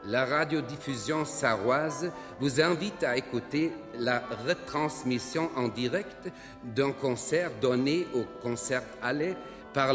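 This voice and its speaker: A solemn male announcer, played by an actor, presents a classical concert for a radio play. His delivery is formal and florid, pitched in the middle. The voice rings with long hall reverb over clearly audible music. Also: lightly acted. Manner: formal, high-flown.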